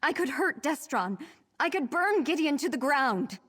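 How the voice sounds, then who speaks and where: A woman's voice: high-pitched, expressive, a little slow, a bit bright, long reverb tail, a little hiss or hum. An actress playing an anxious young woman in a fantasy game, fretful and intense about her own dangerous power. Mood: fearful, distressed.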